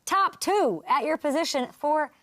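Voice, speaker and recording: A woman's voice: high-pitched, expressive, unhurried, fairly bright tone, no room sound, clean studio sound. A woman hosting a casual sports talk show, chatty and teasing as she interviews a guest. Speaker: host.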